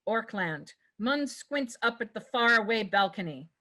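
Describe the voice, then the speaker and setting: A woman's voice: fairly high pitch, animated, a little slow, bright, forceful tone. A woman reading scripted stage directions as the narrator of a table read.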